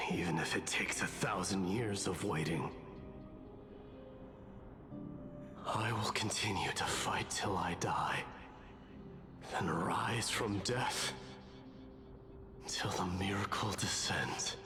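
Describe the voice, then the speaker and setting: A fatalistic warrior lord in a game drama. He speaks very slowly and formally in a low register, stern and stoic, over clearly audible music. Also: whispered. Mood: resolute. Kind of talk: dramatic video game voice acting.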